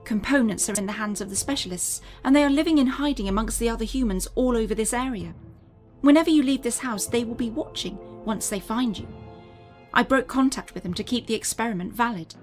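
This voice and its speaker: A woman acts as an alien mother in a sci-fi audio drama, her voice a little high. She explains things gravely and deliberately, earnest and guarded, with soft music underneath. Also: natural voice. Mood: urgent warning.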